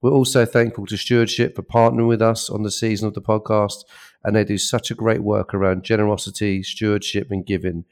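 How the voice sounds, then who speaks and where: A man's voice: lowish voice, little pitch movement, a little fast, darkish tone. A man hosting a podcast, introducing his guest with enthusiasm and many repeated words and fillers. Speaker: podcast host.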